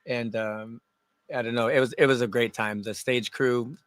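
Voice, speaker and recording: A man with a loud voice, a DJ guest in a radio studio, telling stories with laughs and emotion. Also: medium pitch, fairly even intonation, moderate pace, no room sound. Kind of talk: casual radio interview.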